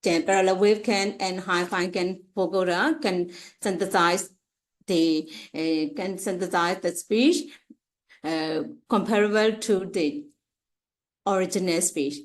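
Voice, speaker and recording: A woman giving an academic slide presentation as a researcher, speaking haltingly with frequent pauses and repeated phrases. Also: on the low side, steady tone. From Myanmar.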